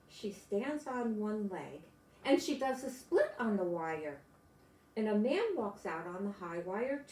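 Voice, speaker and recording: An older grandmother reads a children's story aloud. Her delivery is slow and very animated, with exclamations aimed at young listeners. The room around her sounds echoey. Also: on the high side. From United States. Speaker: grandmother reading picture books aloud. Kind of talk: children's storybook read-aloud with chatty asides.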